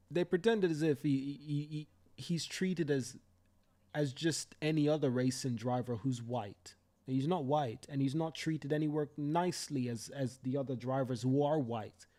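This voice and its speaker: A man's voice: medium pitch, dark tone. A young Jamaican man hosting a live stream, ranting fiercely with profanity and repeating himself for emphasis. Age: young adult. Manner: fiery, profane ranting with repetitions. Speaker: livestream host. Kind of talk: heated live commentary.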